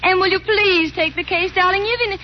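An actress playing a scheming, dramatic young wife in a 1940s radio play, coaxing and theatrical, on a dull old radio recording. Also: female voice, extremely high pitch, brisk, slight background noise.

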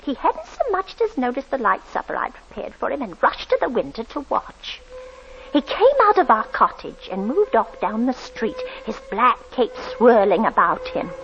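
A woman's voice: a little high, big pitch swings, moderate pace, a bit bright. A flustered landlady. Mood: hushed narration. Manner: fluttery, stammering, deferential. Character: timid, flustered landlady, eager to please. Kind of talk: old-time radio drama acting. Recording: dull old radio recording with faint hiss.

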